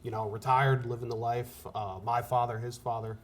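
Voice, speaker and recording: A man's voice: mid-range voice, level tone, normal speed, a little hiss or hum. A man, a fire officer explaining things casually in an interview.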